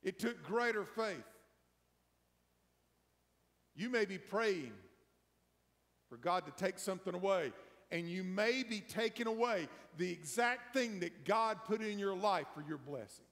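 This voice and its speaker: A middle-aged man preaching as pastor, building emphasis through a slow sermon before a hushed prayer in a large church. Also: high voice, lively intonation. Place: large church sanctuary, empty pews. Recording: long church hall reverb.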